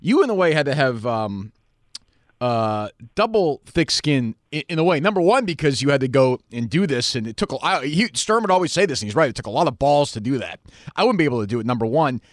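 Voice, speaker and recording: A man's voice: medium pitch, very animated, fairly quick, no echo, studio quality. A man hosting a casual conversational interview, blunt and freely profane in the way he talks. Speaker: interview show host.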